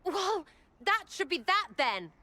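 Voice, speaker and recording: A woman's voice: extremely high pitch, big pitch swings, slow pace, pushed and bright, exaggerated voice. A woman voicing a brash, foul-mouthed young rebel elf in a video game, with an English accent and a cheeky, combative, slangy delivery. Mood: irritated.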